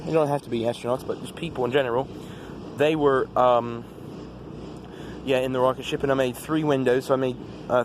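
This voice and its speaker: A teenage male with an American accent gives a casual, rambling vlog-style recap of the day, halting often as he talks. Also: medium pitch. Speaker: vlogger. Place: outdoors. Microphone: phone. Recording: noisy outdoor phone recording with traffic.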